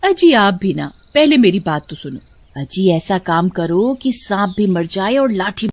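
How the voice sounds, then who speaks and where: A woman's voice: medium pitch, big pitch swings, a little slow, no echo. A woman voicing a cunning, nagging village wife in a cartoon, coaxing and scolding. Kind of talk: Hindi cartoon voice acting.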